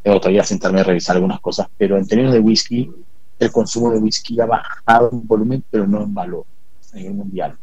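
A man born in England and raised in South America, a whisky expert guest talking in a measured, explanatory way. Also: lowish voice, steady tone, darkish tone, slightly rough, dry recording, lo-fi sound. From born in England, raised in Peru, Ecuador and Colombia. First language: Spanish. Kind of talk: interview conversation.

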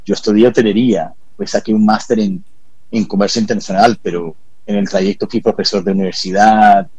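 Low husky-voiced man explaining calmly. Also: dry recording, rough recording. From born in England, raised in Peru, Ecuador and Colombia. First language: Spanish. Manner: measured, explanatory, with fillers. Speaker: whisky expert guest. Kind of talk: interview conversation.

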